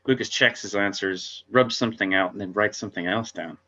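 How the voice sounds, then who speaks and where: A man's voice: fairly low pitch, a little fast, pushed and bright. A man narrating scene headings and stage directions at a script table read, in a dull, muffled recording.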